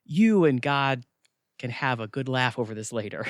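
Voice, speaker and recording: A male actor plays a wisecracking, easygoing male gatekeeper of the afterlife in an audio comedy. His chatty, flippant delivery jumps around in pitch. The sound is dry, with no room around it. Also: animated, moderate pace, no echo, lightly acted. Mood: joking. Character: breezy, wisecracking male afterlife check-in attendant. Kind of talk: audio theatre comedy acting.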